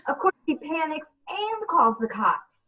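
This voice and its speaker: A woman's voice: sits high, big pitch swings, a little slow, recorded from a distance. A woman reading comedic scripted narration for a radio play, recorded on a dull mic.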